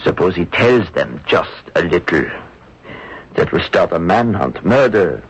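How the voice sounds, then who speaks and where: A resolute, anxious minister of the wartime underground in a radio drama. The voice is extremely low and husky, grave and urgent, on a dull old broadcast recording. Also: male voice, big pitch swings, unhurried, heavily acted. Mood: worried. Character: anxious, resolute Protestant minister in the French Resistance.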